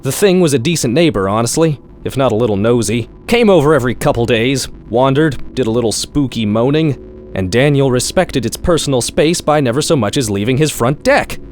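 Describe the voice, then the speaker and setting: A man's voice: medium pitch, expressive, fairly quick, darkish tone. A man reading a scripted short story in a wry, sardonic storytelling manner, like a horror-comedy audiobook. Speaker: author-narrator reading own short story.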